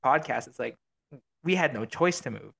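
A man's voice: mid-range voice, lively intonation, normal speed, gravelly, fairly bright tone. A man hosting a casual podcast, rambling with many fillers and restarts. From Filipino American, living abroad. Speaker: podcast host.

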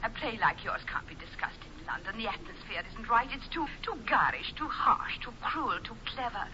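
An actress in a 1940s radio comedy playing a theatrical, imperious stage star who speaks in an affected, effusive manner. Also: female voice, on the high side, sing-song, normal speed. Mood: gushing. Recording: muffled, noisy old radio broadcast.

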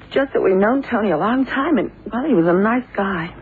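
A woman's voice: medium pitch, expressive, brisk, a little hiss or hum, close to an everyday voice. A woman playing a detective's tender-hearted assistant, sniffly and apologetic, in an old radio drama. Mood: tearful, apologetic. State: sniffly, tearful. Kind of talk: 1960s-70s radio drama acting. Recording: tinny old radio broadcast.

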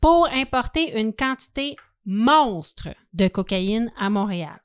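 A woman's voice: on the high side, expressive, slow, smooth, no room sound, studio quality. A woman with a Quebec French accent co-hosting a podcast, telling a story from notes in an informal, conversational way. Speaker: podcast co-host.

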